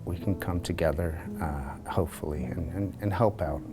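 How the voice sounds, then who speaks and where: A man telling his own story in a short video, reflective and halting, often repeating a word as he searches for the next one. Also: sits low. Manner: halting and reflective, with frequent repeated words and fillers. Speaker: lived experience advisory group member. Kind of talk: personal testimony for a short video. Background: soft guitar music.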